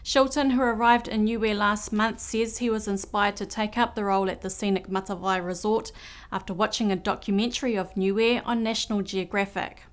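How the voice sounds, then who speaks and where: A woman reading scripted news as anchor, in a clear, measured delivery. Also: mid-range voice, steady tone.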